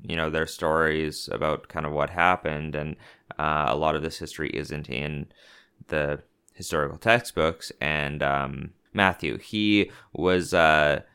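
A young man with a Canadian accent chatting on a podcast, his voice very low and smooth. He jokes dryly and rambles, filling gaps with "uh" and "like" as he talks. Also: fairly even intonation, unhurried, pushed and bright. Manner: sarcastic, joking, rambling with many fillers. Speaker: podcast co-host. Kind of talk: casual joking chat.

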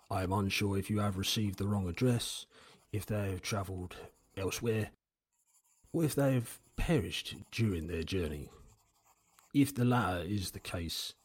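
A man's voice: on the low side, darkish tone, slightly rough. A man playing a polite, regretful innkeeper, reading a letter in a formal, apologetic manner in a fantasy audio drama.

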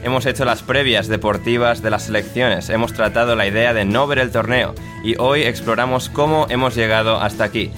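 A man's voice: medium pitch, fairly even intonation, fairly quick, hardly any pauses, music in the background. A man hosting a podcast interview, chatty and rambling as he asks questions. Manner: chatty, rambling with fillers and restarts. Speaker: podcast host.

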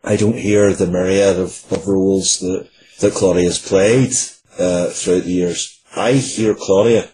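A man's voice: low voice, fairly even intonation, a little slow, dark tone, breathy edge, low-quality recording. A man speaking casually and earnestly as an interview guest, often repeating phrases for emphasis.

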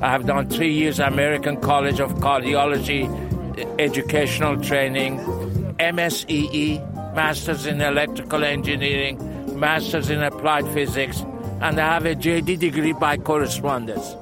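A man's voice: on the high side, little pitch movement, normal speed. An older man interviewed on a city street, boasting of his many degrees and repeating himself. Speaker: passerby. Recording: loud music over the voice. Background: loud street music.

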